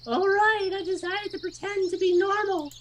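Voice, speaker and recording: A performer voicing a puzzled young visual novel hero thinking aloud in a squeaky voice. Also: fairly even intonation, normal speed, fairly bright tone, a little hiss or hum. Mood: musing.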